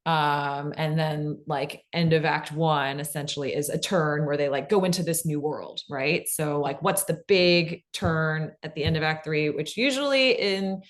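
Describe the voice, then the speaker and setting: Low voiced woman explaining stuff casually, lots of like and right?. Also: measured pace, few pauses. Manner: conversational, peppered with "like" and "right?" check-ins. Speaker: co-presenter of a fiction podcast pitching webinar. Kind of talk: informal instructional talk.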